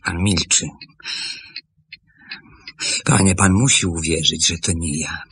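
Deep, gravelly, earnest pleading man. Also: a bit bright, heavily acted. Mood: pleading, agitated. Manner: pleading, deliberate, with heavy pauses. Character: earnest middle-aged former apprentice pleading his innocence. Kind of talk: Polish radio play acting. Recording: old hissy radio drama recording.

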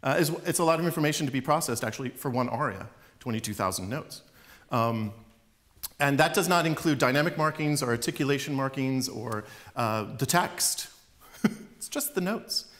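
A man's voice: mid-range voice, moderate pace. Middle-aged man lecturing on a stage. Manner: relaxed, chatty, with fillers and small laughs. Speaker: opera professor giving a lecture. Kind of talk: public lecture with demonstrations. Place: concert hall stage.

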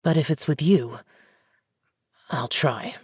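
Game role: aloof young male immortal, low-pitched and husky, terse and solemn. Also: slow, a little mellow, dry recording, studio quality, heavily acted. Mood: reluctant. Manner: terse, reserved, solemn, formal phrasing. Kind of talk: English video game character dialogue.

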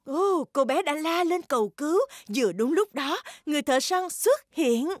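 A woman's voice: sits high, lively intonation, moderate pace, no echo, clean studio sound. A woman voicing a polite animal schoolteacher who announces formally in a dubbed children's cartoon. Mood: polite, announcing.

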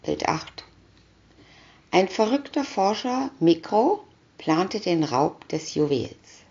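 Female storybook narrator: low-pitched, deliberate with pauses between captions, muffled lo-fi recording. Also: big pitch swings, gravelly, a little mellow. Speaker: reader of picture-story booklets. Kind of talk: scripted narration of a children's comic story. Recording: dull lo-fi recording.

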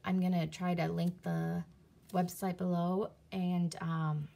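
A woman casually showing her CDs and reading their back covers aloud, halting often with fillers and restarts, recorded on a phone camera above a table indoors. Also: on the low side, level tone, vocal fry, dark tone, slight background noise. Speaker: video creator reviewing CDs. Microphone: phone camera filming her hands on a table from above.

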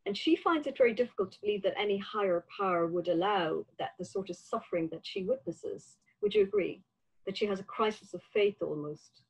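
An older woman interviewing on a video call from a room at home, speaking in a measured, tentative way. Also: medium pitch, big pitch swings, creaky, husky, low-quality recording.

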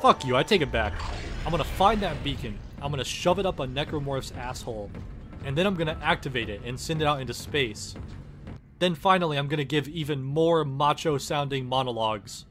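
A man's voice: mid-range voice, lively intonation, a little fast, a bit bright, a little hiss or hum, lightly acted. A man voicing a sarcastic, foul-mouthed space engineer in a comedic game parody, blunt and exasperated. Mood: fed up.

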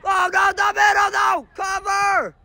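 A man filming a soccer match from the sideline in an indoor dome, commenting excitedly and shouting at the referee and his team. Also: extremely high pitch, unhurried, creaky, a faint crowd, slight background noise. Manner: animated, shouting at the referee and players. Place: indoor sports dome, large. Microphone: phone camera held by the speaker.

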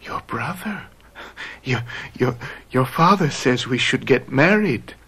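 A man's voice: mid-range voice, lively intonation, takes it slowly, a bit bright, slightly rough, heavily acted. An actor playing a sickly, fearful young man in a radio drama, whining feebly and complaining. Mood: self-pitying, clinging.

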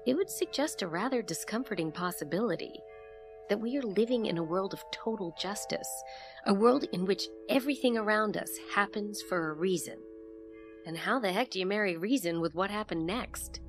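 A woman's voice: mid-range voice, natural voice. Reflective actress as a female investigator, music behind. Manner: reflective, inquisitive. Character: curious, candid female investigator narrating her inquiry. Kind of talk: scripted first-person audio drama narration.

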